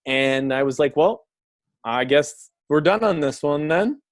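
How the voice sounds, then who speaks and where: A man's voice: a little high, lively intonation, measured pace, no room sound. Rambling man, dry close sound. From Canada. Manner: rambling, heavy on fillers and profanity. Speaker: filmmaker guest. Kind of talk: casual podcast conversation.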